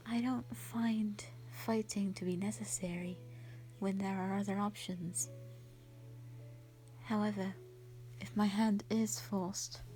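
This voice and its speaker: Woman playing a slow-spoken, gentle shy dragon lady. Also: mid-range voice, close to an everyday voice, low-quality recording. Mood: calm, firm. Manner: soft, breathy, hesitant, sweet and earnest. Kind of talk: roleplay audio drama, intimate one-sided dialogue.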